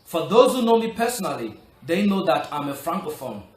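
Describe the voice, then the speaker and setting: High-voiced French-native man coaching learners. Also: sing-song, brisk. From Republic of the Congo. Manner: earnest, coaching, stresses key points. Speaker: language-learning vlogger. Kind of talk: motivational talk to camera. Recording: roomy echo, soft music behind.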